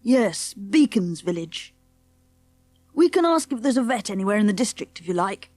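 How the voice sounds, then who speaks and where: A woman's voice: mid-range voice, big pitch swings, normal speed, darkish tone, dry recording. A woman playing a polite, sensible schoolboy who leads his friends in an audiobook. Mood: sensible, cheerful. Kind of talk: audiobook character dialogue.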